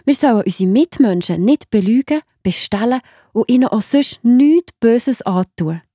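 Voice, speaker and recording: A woman with a Swiss accent narrating Bible stories for children in an expressive, enthusiastic, simple way. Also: on the high side, normal speed, husky, no echo, clean studio sound. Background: music behind the voice.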